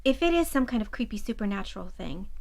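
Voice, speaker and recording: A woman's voice: a little high, sing-song, fast talker, creaky. A woman playing a pushy, brash young college student with a wry, bossy manner in an audio drama. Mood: insistent.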